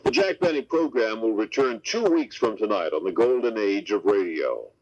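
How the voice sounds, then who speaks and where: A man's voice: lowish voice, very animated, normal speed, lo-fi sound, a little hiss or hum. A man working as a radio announcer, delivering scripted narration and promotional copy. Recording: band-limited radio broadcast tape.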